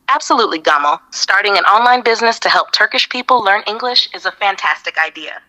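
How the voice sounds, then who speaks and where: Synthetic woman, AI assistant voice; bright tone, upbeat, evenly paced lists. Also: medium pitch, animated, fast talker, poor audio. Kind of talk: scripted advice reading.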